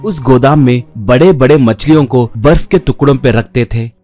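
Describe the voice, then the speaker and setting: A man with a medium-pitched voice narrates a scripted children's tale. Music plays behind his storytelling. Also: moderate pace, mellow.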